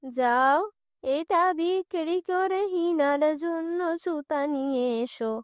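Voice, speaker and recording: A woman acts out an old grandmother in a fairy-tale cartoon. She speaks very slowly, drawing out her words, frail yet protective and doting, in a dry recording. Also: sits high, no echo. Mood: reproachful, tender. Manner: slow, drawn-out delivery.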